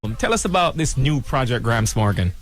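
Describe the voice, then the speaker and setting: A man's voice: mid-range voice, sing-song, fairly quick, fairly bright tone, soft background music. A man hosting a radio interview and cueing his guest with short encouraging prompts. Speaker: radio host.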